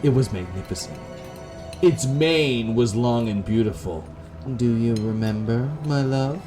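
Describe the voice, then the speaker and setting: A man's voice: medium pitch, expressive, measured pace, over music, natural voice. A man voicing an obsessive, unstable young woman in love, dramatic and clingy, in a gothic horror visual novel. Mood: wistful, accusing.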